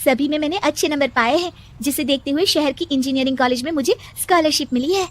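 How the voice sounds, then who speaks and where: A woman voicing an earnest, hopeful, studious young village girl in an animated moral story, speaking eagerly. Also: high voice, fast talker, natural voice.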